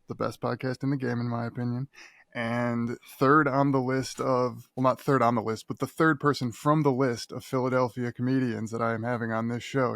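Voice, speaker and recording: A man's voice: medium pitch, dry recording, studio quality. A man hosting a podcast in casual chat, rambling, laughing often and swearing freely. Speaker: podcast host.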